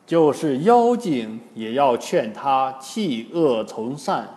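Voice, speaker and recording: A man's voice: mid-range voice, big pitch swings, takes it slowly, darkish tone. A man voicing a pious, compassionate but stern Buddhist monk, solemnly admonishing in a dramatised story.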